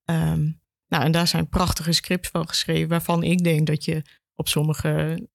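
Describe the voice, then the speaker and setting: A woman with a Netherlands Dutch accent explains conversationally as a podcast guest, thoughtful and halting, voicing imagined reactions. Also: sits low, little pitch movement, gravelly, no echo, studio quality. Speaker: education officer at a regional archive, interview guest.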